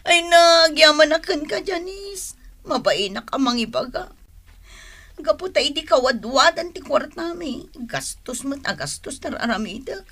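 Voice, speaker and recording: A troubled Ilocano wife in a radio drama. Her voice sits fairly high and has a plaintive, sighing edge, the sound of a woman weighed down by debt. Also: expressive, a little slow, fairly bright tone, heavily acted. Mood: grateful. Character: distressed married woman burdened by debts, remorseful wife.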